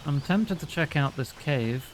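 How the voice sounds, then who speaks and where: A man's voice: fairly high pitch, lively intonation, measured pace, a little hiss or hum, poor audio. A man giving casual, joking commentary while he plays a video game and thinks aloud. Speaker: streamer. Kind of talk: casual let's-play commentary. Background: game music.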